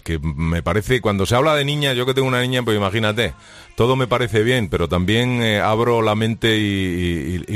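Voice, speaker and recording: A man's voice: fairly low pitch, fairly bright tone. A man hosting a radio show in the studio, chatty and joking, interviewing a guest. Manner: jokey, chatty, fast asides. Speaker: radio host. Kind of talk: lively radio talk and interview.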